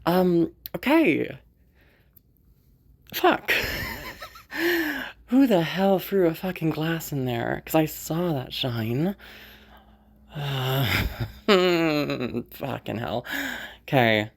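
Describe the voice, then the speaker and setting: A woman's voice: deep voice, expressive, drawn-out words, vocal fry, pauses often, full character voice. A woman voicing a sociopathic rich young woman who mutters her plans to herself and swears a lot. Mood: irritated. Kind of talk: audio roleplay monologue.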